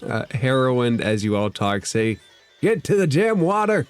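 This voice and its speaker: A man acting as dungeon master, narrating the scene and giving calm rulings. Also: mid-range voice, a little slow, clean tone, faint music behind. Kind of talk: running a tabletop game.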